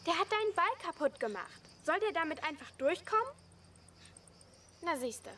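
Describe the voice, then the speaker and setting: A woman's voice: very high-pitched, sing-song, moderate pace, pushed and bright, full character voice. An actress playing a bold, defiant, scheming young girl in a German radio play, bossy and goading. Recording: background noise behind the voice.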